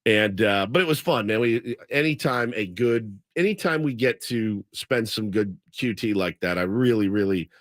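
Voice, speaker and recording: A man's voice: on the low side, moderate pace, hardly any pauses, dry recording, studio quality. A man hosting a sports radio talk show, casually telling a long story and acting out what people said. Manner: animated storyteller, acts out quotes.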